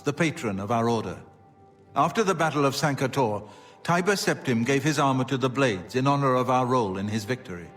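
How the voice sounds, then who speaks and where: A man's voice: mid-range voice, natural voice. Grave, formal knightly elder statesman acted by a man. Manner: measured, solemn, formal. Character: grave, dutiful male grand master of a knightly order. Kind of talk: video game acting.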